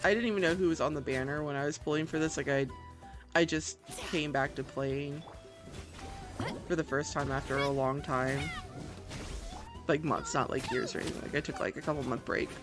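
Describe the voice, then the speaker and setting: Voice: medium pitch, fairly even intonation, moderate pace, pauses often. A game streamer giving casual, gushing and self-deprecating commentary while playing, with game music behind the voice.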